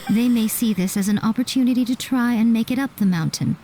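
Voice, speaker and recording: A woman playing a composed, playful fox shrine maiden in a video game, speaking in a poised, measured, gently teasing way. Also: a little high, slight background noise, natural voice. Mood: calm, instructive. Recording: game audio with music behind. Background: music.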